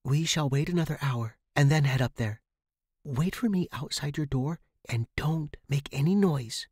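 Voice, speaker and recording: A man's voice: fairly high pitch, normal speed, a little mellow, breathy edge, no echo, clean studio sound, pitched above the performer's own voice. A man voicing a persuasive young woman, insistent and conspiratorial, in a horror story. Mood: reassuring. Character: daring, persuasive young woman writer, scheming friend.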